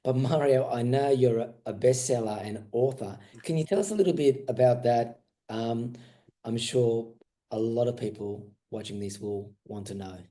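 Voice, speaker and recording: A male interview host with a mellow, darker voice. He asks questions encouragingly, stumbling and restarting now and then. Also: mid-range voice, normal speed. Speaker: host, boxing coach.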